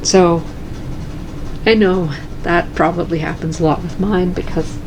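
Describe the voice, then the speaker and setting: A woman chatting casually and calmly in her cross-stitch vlog at home, soft-spoken and unhurried. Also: on the low side, gravelly, background noise. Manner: calm, slow and soft-spoken. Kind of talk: casual chat.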